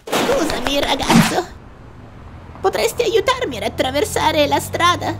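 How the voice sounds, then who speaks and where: An actor voicing a cranky, foul-mouthed little old lady in a cartoon dub, shrill, scolding and indignant. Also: big pitch swings, unhurried, fairly bright tone, a little hiss or hum, exaggerated voice. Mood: querulous.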